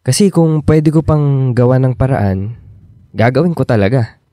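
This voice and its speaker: An actor as an earnest, insecure Filipino boyfriend. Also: male voice, mid-range voice, animated, normal speed, dark tone, no echo, clean studio sound, natural voice. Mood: subdued, pleading. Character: earnest, insecure young boyfriend pleading for commitment. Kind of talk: Filipino radio drama acting.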